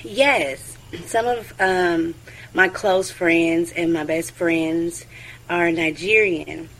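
A woman speaking as a podcast guest, friendly and relaxed, with casual fillers. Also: fairly low pitch, extremely slow, low-quality recording. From United States. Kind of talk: casual interview chat.

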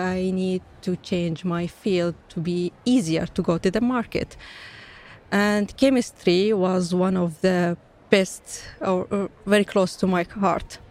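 A woman's voice: mid-range voice, a little mellow. A woman from Palestine, a guest engineer, telling her story in an earnest, personal way at a live event. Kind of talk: interview answers.

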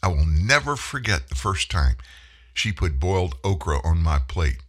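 A man's voice: deep voice, big pitch swings, moderate pace, husky. A middle-aged man from Houston hosting a radio talk show from a studio, chatting in a folksy storytelling way. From Houston, Texas. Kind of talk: casual monologue. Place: broadcast studio. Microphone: studio microphone.

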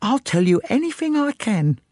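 A man voicing an excitable, gossipy young working-class English maid in an audiobook, chatty and breathless. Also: very high-pitched, moderate pace, no echo, clean studio sound, voice pushed up, full character voice. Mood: eager. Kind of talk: audiobook character voice.

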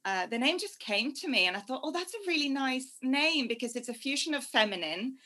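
A young woman chatting as a podcast guest, warm and talkative, laughing easily. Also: high voice, very animated, fairly quick. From Norway. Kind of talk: casual interview chat.

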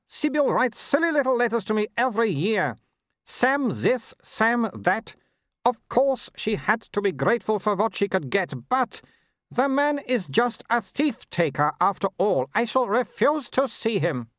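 A man voicing a haughty, snobbish older werewolf baroness who talks with sharp scorn. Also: extremely high pitch, big pitch swings, unhurried, no room sound, studio quality, pitched above the performer's own voice, heavily acted. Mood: scornful.

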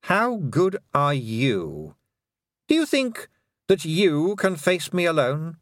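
A man's voice: a little high, very animated, takes it slowly, fairly bright tone, no echo, clean studio sound, pitched above the performer's own voice, exaggerated voice. A man voicing a cruel, scheming fairy queen who speaks in a cold, menacing way.

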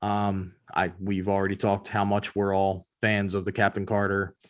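A man's voice: low voice, completely flat delivery, normal speed. A man hosting a casual pop culture news chat, talking informally like a fan. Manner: informal, conversational fan talk. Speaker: podcast host.

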